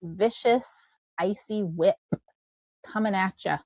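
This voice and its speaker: An upbeat woman co-hosts a casual podcast, working through her thoughts with plenty of fillers and frequent laughs. Her voice is mid-pitched and recorded close and dry. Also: slow pace, a little mellow, no echo. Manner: thinks aloud with fillers, laughs often. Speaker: podcast co-host.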